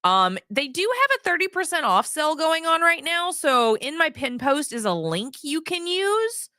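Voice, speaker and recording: Woman, hoarse, streamer, casual rambling chat, bright, dry. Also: sits high, sing-song, normal speed, dry recording. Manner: rambling, many ums and restarts. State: hoarse from yelling.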